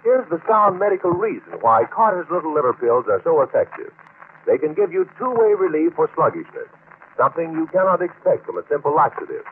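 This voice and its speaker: Old timey radio ad guy, really lively highish voice, tinny and slightly noisy. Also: fairly quick. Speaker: commercial announcer. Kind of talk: 1940s radio advertising pitch.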